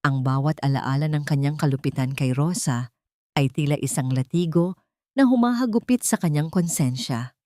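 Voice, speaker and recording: A woman's voice: deep voice, moderate pace, dark tone, no echo, clean studio sound. A woman narrating a scripted dramatic story in a solemn, emotive storytelling manner.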